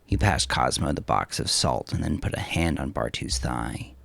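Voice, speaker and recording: A man narrating a dark audiobook in a deliberate, dramatic, grim manner. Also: extremely low pitch, gravelly, no echo.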